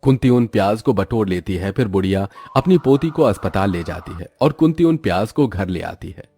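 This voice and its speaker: Lively, mellow male storyteller. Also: fairly low pitch, normal speed, soft background music. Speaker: story narrator. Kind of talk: scripted storybook narration.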